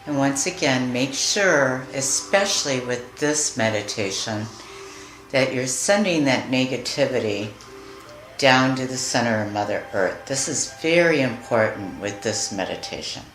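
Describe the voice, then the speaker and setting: A woman leading a guided meditation in a soothing, deliberate manner, leaving long pauses between phrases. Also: very deep voice, expressive. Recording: roomy echo with music behind. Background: music.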